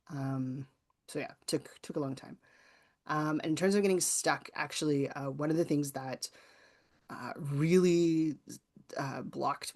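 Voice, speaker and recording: A woman guest author answering audience questions informally, in a thoughtful, hedging, conversational way. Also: a bass voice. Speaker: guest author and workshop presenter.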